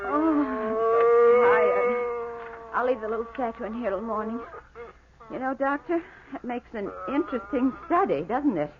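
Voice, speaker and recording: Through clear hiss and a thin vintage radio sound, an actress plays a tender-hearted woman in a horror play. Her high voice is theatrical and full of feeling. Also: a little slow, close to an everyday voice. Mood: weary, uneasy. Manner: emotional, theatrical. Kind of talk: old-time radio melodrama acting. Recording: noisy, tinny vintage radio recording.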